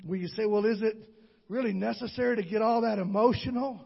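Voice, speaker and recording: A man preaches a fiery sermon in a very high, husky voice, shouting to the congregation and calling for answers. The recording is dull and lo-fi. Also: fairly even intonation, a little fast. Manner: preaching with shouted call-and-response. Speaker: pastor. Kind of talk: Pentecostal sermon.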